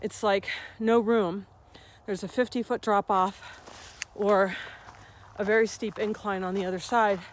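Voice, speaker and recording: A woman's voice: medium pitch, unhurried, slight background noise. A woman in her fifties, chatting animatedly in a casual vlog as she walks uphill outdoors, a little out of breath. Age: 50s. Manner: chatty, animated storytelling with laughs. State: slightly out of breath from walking uphill. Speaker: vlogger and health coach. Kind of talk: casual vlog while walking. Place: outdoors on a rural uphill trail.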